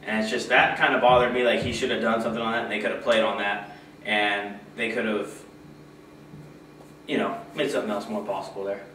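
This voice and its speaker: A young man as guest reviewer, easygoing and rambling, hurrying when excited. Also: lowish voice, fairly quick. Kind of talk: casual review chat. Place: small indoor studio with a poster backdrop. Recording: echoey room, mic far off, faint hiss.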